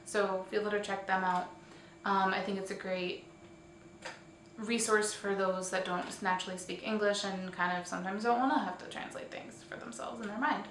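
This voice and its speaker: A woman presents a casual online roundup in an informal, chatty way, a little tentative and quick to correct herself. She sounds set back from the microphone in an echoey room, with faint noise underneath. Her voice sits at a middle pitch. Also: steady tone, a bit bright. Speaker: host of a weekly online roleplay community show. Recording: echoey room, distant mic, faint hiss.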